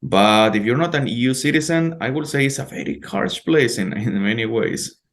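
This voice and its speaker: A man from El Salvador, a guest on a podcast, speaking in a measured way and hedging his statements. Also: medium pitch, animated. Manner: measured, with hedges like "let's say" and "I would say". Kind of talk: casual interview.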